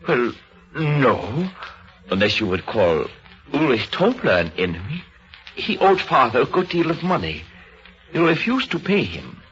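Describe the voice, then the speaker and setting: A man playing a shaken, hesitant young bereaved son in an old-time radio drama, speaking haltingly. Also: mid-range voice, big pitch swings, fairly bright tone. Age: young adult. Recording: dull old radio recording.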